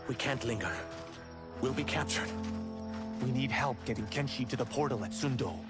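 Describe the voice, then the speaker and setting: A man's voice: medium pitch, normal speed, loud music behind the voice, natural voice. An actor playing a remorseful reptilian shapeshifter man, earnest and formal in a dramatic video game style. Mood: somber, earnest. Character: remorseful reptilian shapeshifter man, outcast, anguished but honorable.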